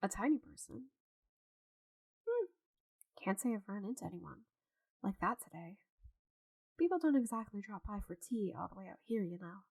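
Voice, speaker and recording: A woman playing a friendly, chatty, slightly scatterbrained forest witch in an ASMR roleplay audio drama, with a gentle, theatrical manner. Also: medium pitch, big pitch swings, normal speed, vocal fry, pauses often, husky, no echo, full character voice, low-quality recording. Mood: nervous feigned innocence.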